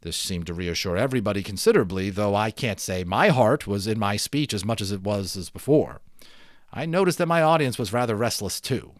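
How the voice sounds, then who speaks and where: A man giving an expressive, emphatic dramatic reading of a storybook, as an amateur narrator. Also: lowish voice, brisk, dry recording, clean studio sound. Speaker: amateur audiobook reader. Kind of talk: dramatic storybook read-aloud with chatty asides.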